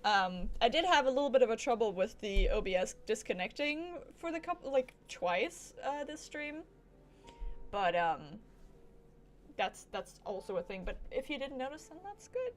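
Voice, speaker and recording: A woman's voice: on the high side, sing-song, normal speed, slight background noise. A woman livestreaming a video game, chatting casually and playfully to the game and her viewers. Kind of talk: casual gameplay commentary and chat. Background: video game music.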